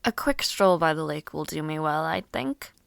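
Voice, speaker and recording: A young woman voicing a spirited young noblewoman mage, giggly and formally spoken, with soft whispered asides, for an audio roleplay. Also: low voice, animated, moderate pace, vocal fry, clean tone, no echo, exaggerated voice. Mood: musing.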